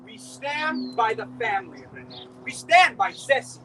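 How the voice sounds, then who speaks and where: A man's voice: very high voice, sing-song, moderate pace, far from the mic, background noise, heavily acted. A man on a live outdoor stage, playing a guarded, defensive young man in uniform in a tense scene.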